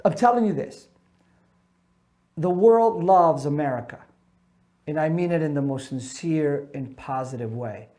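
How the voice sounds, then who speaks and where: A middle-aged man from Ecuador leads a seminar on leaving the military, his voice sitting a little high for a man. He talks in an earnest, emphatic way, pushing key words loud and then dropping soft. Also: unhurried, pauses often. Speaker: military transition coach. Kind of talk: seminar talk.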